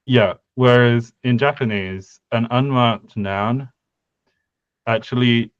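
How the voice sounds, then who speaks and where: A man's voice: medium pitch, slow pace, clean tone, dry recording, highs cut off. A young man co-hosting a casual podcast about language design, thinking aloud with many fillers and restarts. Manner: hesitant, lots of fillers and restarts. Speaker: podcast co-host. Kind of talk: casual conlang design discussion.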